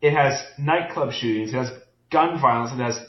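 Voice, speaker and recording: A man's voice: mid-range voice, moderate pace, a crowd in the background. A man co-hosting a podcast, discussing a book in casual chat and thinking aloud with many restarts. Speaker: podcast co-host. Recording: roomy, dull lo-fi sound.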